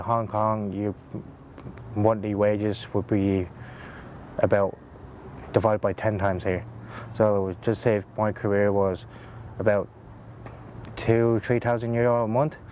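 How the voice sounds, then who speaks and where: A teenage boy, speaking casually in an interview with a relaxed, hesitant manner. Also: on the low side, slight background noise. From Ireland. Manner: relaxed, hesitant, many fillers.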